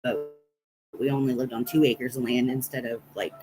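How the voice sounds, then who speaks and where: A woman's voice: a bass voice, level tone, moderate pace, rough recording. An American woman telling rambling personal stories casually to livestream viewers, as the host of the stream. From Nebraska, United States. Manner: rambling, anecdotal, chatting with viewers. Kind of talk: casual storytelling chat on a livestream.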